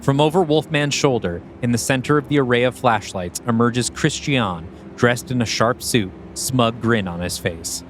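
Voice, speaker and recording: A man's voice: mid-range voice, moderate pace, few pauses, slight background noise. A man co-hosting a podcast, moving between reading a screenplay aloud and talking about it. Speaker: podcast co-host. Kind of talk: scripted screenplay narration and casual creative discussion.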